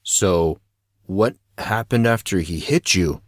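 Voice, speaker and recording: Audio drama schoolboy, voiced by a man: low, weary, serious and loyal, casual delivery, dry close recording. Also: slow pace, dry recording. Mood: shocked, probing. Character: teenage boy, troubled, weary, loyal friend.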